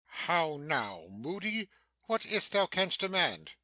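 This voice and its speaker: Man playing imperious sorcerer Prospero, bright forceful voice. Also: a little high, very animated, slow pace, dry recording, exaggerated voice. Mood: irritated. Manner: declamatory, imperious. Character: Prospero, stern, commanding sorcerer master, imperious and quick to scold. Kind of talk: dramatic reading of Shakespeare.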